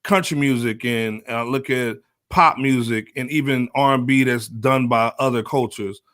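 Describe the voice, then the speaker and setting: A man's voice: medium pitch, moderate pace, a bit bright. An American man with a mid-range voice, debating on a podcast panel. He is probing and insistent, framing his point in casual, conversational language.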